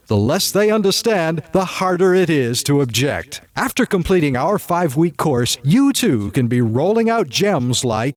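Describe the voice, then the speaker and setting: A man playing an eager, slick announcer in a parody radio commercial, emphatic and salesmanlike. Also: a little high.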